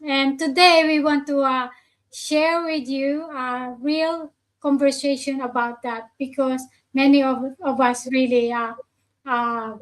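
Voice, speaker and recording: High-pitched, slow-talking woman host. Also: steady tone, poor audio. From Philippines. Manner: hesitant, many fillers and restarts. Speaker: host of a live online chat. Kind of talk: casual live stream conversation.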